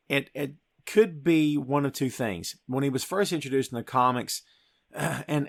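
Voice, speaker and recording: A man's voice: mid-range voice, fairly bright tone. Middle-aged American man, emphatic podcast host. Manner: emphatic, conversational, addresses listener as "dude". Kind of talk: casual film discussion.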